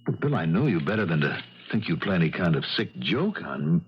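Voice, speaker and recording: A man's voice: deep voice, very animated, a little fast, husky, heavily acted. An actor playing a distraught, bitter man, a concert pianist, in a 1970s radio drama with an intense, agitated delivery. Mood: shocked disbelief. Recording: dull vintage radio recording.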